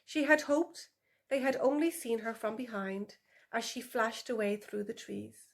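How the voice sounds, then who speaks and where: A woman's voice: on the high side, a little slow, creaky. A woman author reading her animal story for older children as scripted narration.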